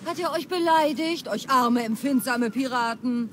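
A bold mercenary from a video game speaks very high for a male voice. The delivery is assertive and mocking, with noticeable outdoor ambience behind it. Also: moderate pace, background noise. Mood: probing, mocking. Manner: assertive, sardonic. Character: bold, mocking adult mercenary demanding answers.

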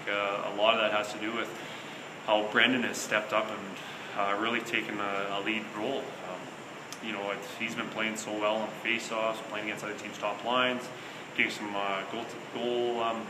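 A man in his thirties speaks in a fairly low voice, answering postgame questions as a hockey coach. He talks in a measured, hesitant way, pausing on fillers and restarting phrases. The small interview room echoes, and a steady air-conditioning hum sits clearly underneath. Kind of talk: postgame press conference. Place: small interview room before a sponsor backdrop.

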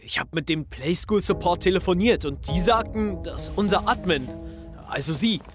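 A young man acts as a sixteen-year-old schoolboy in a radio play, defiant and sardonic. He talks in blunt youth slang, distrustful of everyone, with music playing clearly behind him. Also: high voice, normal speed, natural voice. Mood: probing. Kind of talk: audio drama acting.

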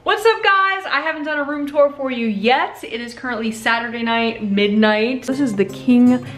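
A woman's voice: fairly high pitch, normal speed, loud music behind the voice. A woman in her thirties vlogging casually, filming herself at arm's length with a chatty, playful manner. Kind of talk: casual vlog, room tour. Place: hotel suite, then outdoor event grounds. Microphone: handheld camera at arm's length.

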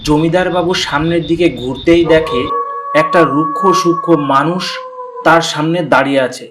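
A man's voice: fairly high pitch, normal speed, music in the background. A man narrating a scripted cartoon story.

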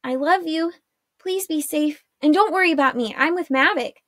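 An earnest teenage girl from a fantasy storybook, eager and a little anxious, as a woman reads her. Her voice sits fairly high and close. The sound is dry and intimate. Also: moderate pace, fairly bright tone, dry recording. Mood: tender.

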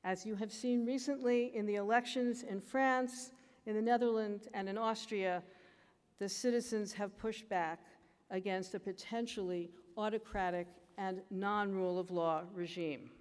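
Older American woman, mid-pitched voice, measured lecturing speech, lectern mic in a big echoing auditorium. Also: fairly even intonation, a little mellow, clear, resonant tone. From United States. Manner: measured, emphatic lecturing delivery. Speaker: commencement speaker. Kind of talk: formal graduation speech. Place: large auditorium stage at a lectern. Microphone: lectern microphone.

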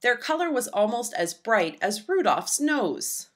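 A woman reading a children's storybook aloud with expressive, dramatic emphasis. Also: fairly high pitch, measured pace. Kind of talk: expressive scripted read-aloud narration for young children.

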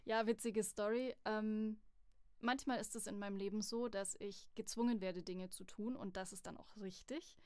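A woman's voice: medium pitch, normal speed, smooth. A young woman talks in an easy, candid way, telling her story with plenty of life. She has a mid-range voice for a woman. The sound is dry and close, with no room echo, as in a small podcast studio. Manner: chatty, candid, lively storytelling. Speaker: voice acting student, guest. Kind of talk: casual podcast interview. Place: studio at a music academy.

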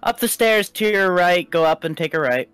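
Cagey, hesitant student male player, lively intonation, casual game roleplay, faint music. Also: sits high, normal speed, clean tone, no room sound. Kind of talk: improvised roleplay.

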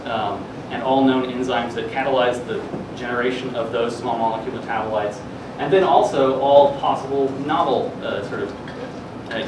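Young male presenter, casual and halting science talk, picked up from afar in a boomy, echoing classroom. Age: young adult. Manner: hesitant, halting delivery with frequent filler words. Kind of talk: informal research news presentation. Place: classroom or seminar room, indoors. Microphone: distant camera microphone. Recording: echoey room, distant mic, air-conditioning rumble.

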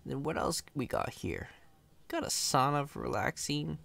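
A man's voice: mid-range voice, lively intonation, normal speed, vocal fry, fairly bright tone, exaggerated voice. A man playing a chatty, cocky, friendly company employee in an ASMR roleplay, casual, reassuring and playfully boastful. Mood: easygoing, friendly.

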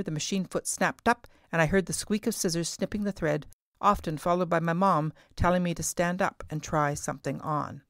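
A middle-aged woman reading scripted podcast narration as host, in a measured, carefully enunciated way. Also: sits low, vocal fry, dry recording, clean studio sound.

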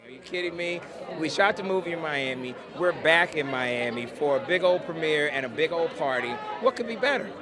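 A man's voice: fairly high pitch, lively intonation, a little fast. A middle-aged man, a film producer, giving an enthusiastic red carpet interview outdoors into a handheld mic. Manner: upbeat, enthusiastic. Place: outdoor red carpet premiere, noisy crowd. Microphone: handheld interview mic held close. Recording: loud crowd chatter behind.

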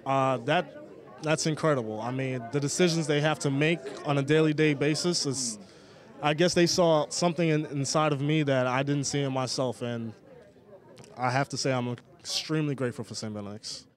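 Earnest young man, halting and sincere. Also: medium pitch, steady tone. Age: young adult. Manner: earnest, halting, many restarts and fillers. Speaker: former student leader, guest. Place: outdoors, city setting.

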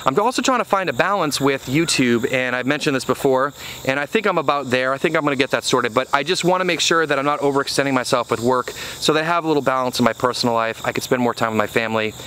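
Earnest farmer talking outdoors to camera. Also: male voice, medium pitch, fast, sharp tone, slight background noise. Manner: earnest, conversational, many "you know" fillers. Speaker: farmer vlogger. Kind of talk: casual to-camera talk. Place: outdoors on a small farm field. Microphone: camera mic at arm's length, then on a tripod.

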